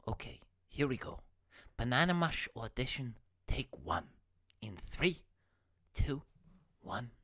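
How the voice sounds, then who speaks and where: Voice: mid-range voice, sing-song, takes it slowly, pauses often, fairly bright tone, no room sound, studio quality, full character voice. A performer playing a stammering, nervous assistant. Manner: stammering, hesitant, deferential.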